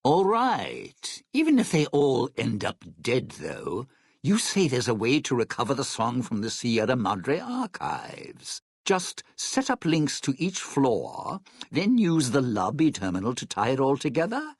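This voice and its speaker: An actor playing a sly, bitter, scheming lounge singer in a video game, with a raspy, gravelly voice and a wry, smooth-talking, theatrical manner. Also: male voice, mid-range voice, wildly swooping pitch, a little slow, no echo, studio quality, heavily acted. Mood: guarded, scheming. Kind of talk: video game character dialogue.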